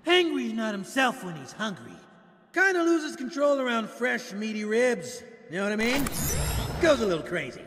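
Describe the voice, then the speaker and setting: An actor voicing a gleefully menacing cartoon rabbit mascot in a horror game, taunting with theatrical mock friendliness. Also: male voice, extremely high pitch, very animated, moderate pace, fairly bright tone, long reverb tail, exaggerated voice. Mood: gleefully unhinged. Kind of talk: horror game villain acting.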